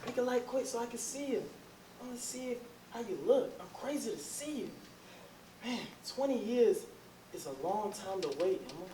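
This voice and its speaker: A man's voice: very high-pitched, animated, moderate pace, dark tone. A man playing an ardent, insistent suitor in his forties in a readers theatre drama, with folksy, effusive speech. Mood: ardent, eager. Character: ardent, insistent 42-year-old man courting his lost sweetheart. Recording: roomy, distant, noisy recording.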